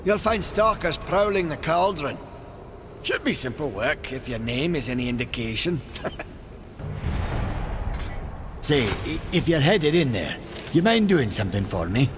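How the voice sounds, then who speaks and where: An actor playing an eager, good-natured young maker in a fantasy video game, with a hearty, folksy manner. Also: male voice, a little high, sing-song, unhurried, long pauses, background noise. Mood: friendly. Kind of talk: video game cutscene acting.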